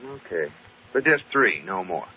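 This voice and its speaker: A dull, hissy old radio recording features an affable American sitcom husband. His medium-pitched voice moves in lively swings. He delivers his lines with teasing, domestic comedy timing. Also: measured pace. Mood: dry teasing.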